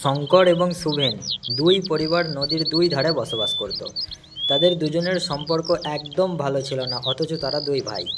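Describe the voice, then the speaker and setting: A man's voice: fairly high pitch, moderate pace, heavy background noise. A man narrating a scripted cartoon story.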